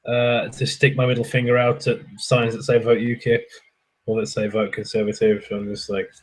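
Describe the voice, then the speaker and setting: A young man talks to his stream viewers in a loose, ranting way. His voice is husky and sits at an ordinary middle pitch. He swears freely and laughs between points. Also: completely flat delivery, moderate pace. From England. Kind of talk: casual chat with viewers.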